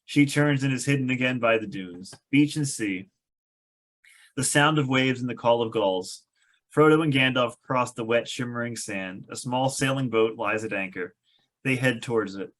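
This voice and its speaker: A man's voice: medium pitch, moderate pace, a bit bright. A man narrating a table read of a screenplay, reading stage directions with wry, amused asides.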